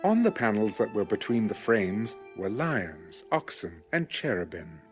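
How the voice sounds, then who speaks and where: A man reading scripture as an audiobook narrator in a measured, formal manner. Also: fairly low pitch, big pitch swings, soft background music.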